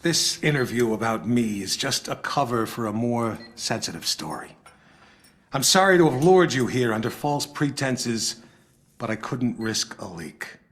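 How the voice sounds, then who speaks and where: An actor playing a polished, self-assured older retired intelligence director in a video game, speaking in measured, deliberate phrases. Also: male voice, mid-range voice, expressive, a bit bright. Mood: grave, confiding. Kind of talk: dramatic video game cutscene dialogue. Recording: music behind the voice.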